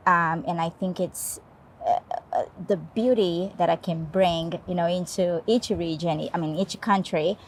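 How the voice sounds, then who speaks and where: Brazilian woman with a gravelly low voice. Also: steady tone, unhurried, slight background noise, poor audio. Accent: Brazilian Portuguese. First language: Portuguese. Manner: fillers, frequent tag "right?". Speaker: HR business partner, guest. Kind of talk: casual interview talk.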